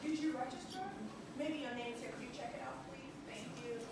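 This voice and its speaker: Ghanaian woman, high voice, cheerful workshop speaker, lots of laughter, audience questions, booming room echo, mic far away. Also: expressive, a little fast. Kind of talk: interactive stress-relief workshop. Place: meeting room with a small live audience. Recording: echoey room, mic far off, background noise.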